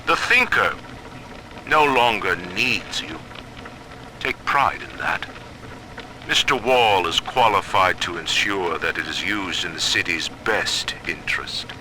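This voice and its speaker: A stern, commanding male ruler from a game drama. He orates coldly at a very measured pace, with a hard, piercingly bright edge. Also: deep voice, lively intonation, a little hiss or hum, exaggerated voice, poor audio. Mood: coldly solemn. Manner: grandiose, deliberate, oratorical. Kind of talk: dramatic video game acting.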